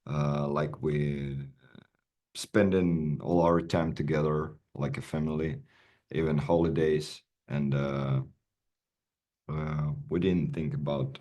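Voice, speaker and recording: A man, an actor and interview guest, speaking haltingly with many pauses and fillers, his not English. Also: extremely low pitch, warm tone. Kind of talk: casual interview talk.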